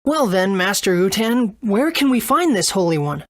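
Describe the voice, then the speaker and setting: A woman voicing an earnest, gentle young boy hero in a video game, with a husky tone. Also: mid-range voice, very animated, normal speed, no room sound, studio quality, lightly acted. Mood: questioning.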